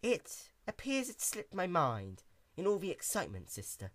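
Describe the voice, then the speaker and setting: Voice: medium pitch, huge pitch swings, moderate pace, no echo, voice pushed up. Performer as a serene, genteel pony princess. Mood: calm, airy.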